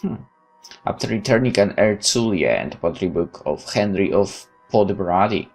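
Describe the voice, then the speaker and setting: A man's voice: deep voice, expressive, normal speed, vocal fry, a little mellow, soft background music. A man reading a visual novel aloud as a let's play host, with informal muttered asides and interruptions to himself.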